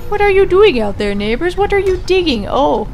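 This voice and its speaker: A woman's voice: very high-pitched, sing-song, moderate pace, a little mellow. A young woman giving casual gameplay commentary, chatty and talking at the characters, her voice a little off from allergies. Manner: chatty, talks to the game characters, mild exclamations. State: voice slightly off from allergies. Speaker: let's play gamer. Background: game music.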